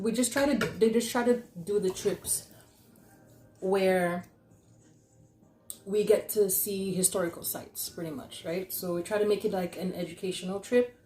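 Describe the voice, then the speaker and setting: A woman's voice: mid-range voice, mellow. A young woman telling a casual, easygoing story as a vlog host while eating. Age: young adult. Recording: roomy echo, some background noise.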